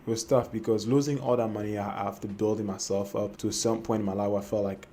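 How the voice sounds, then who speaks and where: A man's voice: on the low side, fairly even intonation, fast talker, warm tone, soft background music. A young man from Cameroon telling his personal trading story to camera, hesitant and full of fillers and restarts. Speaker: trading vlogger.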